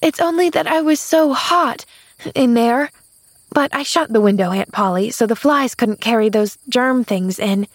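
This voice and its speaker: A woman's voice: on the high side, unhurried, no room sound. A woman voicing a cheerful, eager little girl who gushes with delight. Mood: earnest.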